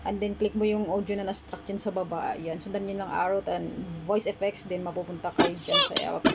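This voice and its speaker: A woman's voice: medium pitch, fairly even intonation, a little fast, very noisy. A woman with a Filipino accent giving a casual step-by-step app tutorial, informally mixing Tagalog and English in an amateur voice-over. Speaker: tutorial host.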